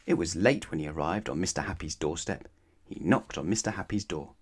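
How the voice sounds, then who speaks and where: A man's voice: low voice, brisk, slightly rough. A man narrating a children's bedtime story expressively, putting questions to his young listeners. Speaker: storybook reader.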